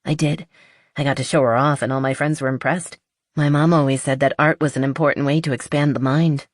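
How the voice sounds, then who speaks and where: A woman's voice: extremely low pitch, fairly even intonation, a little fast, dry recording, clean studio sound. A woman voicing a cheerful, artsy, chatty young woman in an audiobook romance. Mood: warm, explaining. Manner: chatty and enthusiastic. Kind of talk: audiobook character dialogue.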